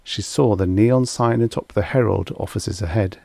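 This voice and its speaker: A man's voice: fairly low pitch, normal speed, creaky, mellow, dry recording. A man reading a vintage science fiction short story as scripted audiobook narration.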